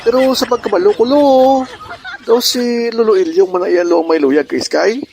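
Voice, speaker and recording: A man's voice: high-pitched, fairly even intonation, moderate pace, creaky, a little mellow, a little hiss or hum. A man acting a curious, respectful young grandson, asking familiar, prompting questions in a comedic radio drama.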